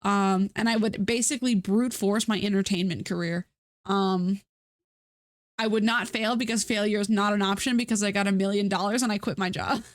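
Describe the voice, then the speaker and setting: A woman's voice: medium pitch, steady tone, moderate pace, no echo. Rambling, stuffy-nosed woman streamer. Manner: rambling, self-mocking, many fillers and restarts. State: stuffy nose. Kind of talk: casual live-stream chat.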